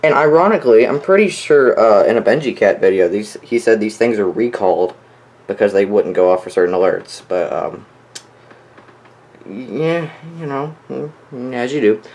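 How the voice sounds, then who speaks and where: A young man giving a casual, offhand showcase of his collection, halting and often trailing off. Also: on the low side, lively intonation, a little mellow. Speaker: collector showing weather radios. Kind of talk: casual product showcase vlog.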